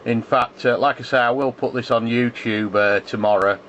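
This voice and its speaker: A man's voice: mid-range voice, steady tone, moderate pace, fairly bright tone. A man chatting casually as an amateur radio operator, giving callsigns in the phonetic alphabet. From England. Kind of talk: casual ham radio contact chat. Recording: muffled shortwave radio contact, faint static.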